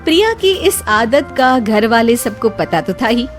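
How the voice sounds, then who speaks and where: A woman's voice: a little high, very animated, measured pace, soft background music. A woman reading scripted storytelling narration for a cartoon.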